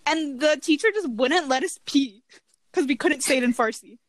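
A woman's voice: high-pitched, moderate pace, no echo. A young woman telling stories in casual chat, talking with many fillers. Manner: chatty, filler-heavy storytelling.

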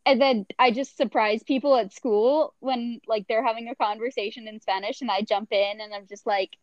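A young woman with a slightly high voice chats loosely on her podcast, piling up fillers as she wanders through her thoughts. The sound is dull and muffled, close and dry. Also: fairly even intonation, moderate pace, dry recording. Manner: chatty, rambling, many fillers. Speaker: podcast co-host. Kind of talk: casual chat.

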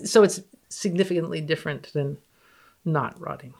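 A woman's voice: low-pitched, moderate pace, gravelly, a little mellow. A woman guest on a podcast, reading research aloud and commenting in a wry, matter-of-fact way. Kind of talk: reading research aloud with casual, unscripted reactions.

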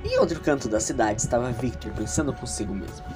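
Animated Brazilian man narrating over music. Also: medium pitch, quick pace. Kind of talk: scripted audio drama narration with chatty asides.

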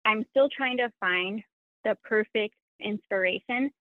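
A woman's voice: medium pitch, measured pace, no echo. A woman whose first language is Spanish, a sewing content creator speaking as a guest in an interview, in an earnest, reflective, self-critical way. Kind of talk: interview conversation. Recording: tinny dry phone line.

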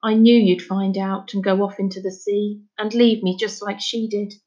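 A woman's voice: medium pitch, level tone, moderate pace, dark tone, muffled recording, natural voice. Woman voicing a stern, anguished father. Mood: resigned, confiding. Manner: scolding, blunt, folksy phrasing. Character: stern, anguished Scottish father, gruff and scolding.

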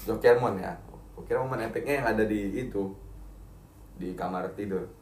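A man's voice: on the low side, normal speed, darkish tone. A young man in casual group chat, playful and joking, often repeating his words. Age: young adult. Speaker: podcast co-host. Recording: roomy echo, off-mic, faint hiss.

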